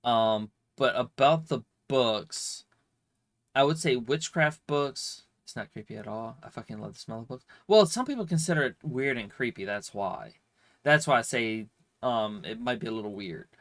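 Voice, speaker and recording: A man's voice: mid-range voice, lively intonation, normal speed, a bit bright. A man with a slight cold and a stuffy, nasal voice, rambling casually with lots of fillers and swearing as a streamer answering viewer questions. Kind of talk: casual chat.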